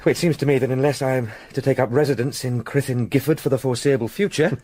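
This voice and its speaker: An actor playing a determined, polite young English solicitor in a period radio drama, speaking in formal, precise phrases. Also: male voice, mid-range voice, steady tone, fast talker, darkish tone, lightly acted. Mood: brisk, businesslike.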